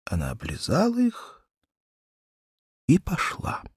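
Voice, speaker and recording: A man narrating a children's story as a scripted audiobook, with expressive, measured delivery and deliberate pauses. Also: fairly high pitch, husky, no room sound, studio quality.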